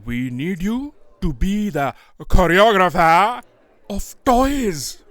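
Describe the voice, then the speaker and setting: Woman as an animated, earnest copy aide. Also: fairly low pitch, takes it slowly, no room sound. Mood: pleading. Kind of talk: scripted audio comedy drama acting.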